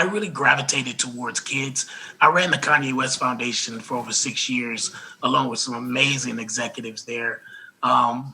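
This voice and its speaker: A man with a noticeably raspy, husky voice that still cuts through bright and forward. He talks earnestly and gratefully as an interview guest, restarting phrases as he goes. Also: mid-range voice, low-quality recording. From Los Angeles. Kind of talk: casual interview talk.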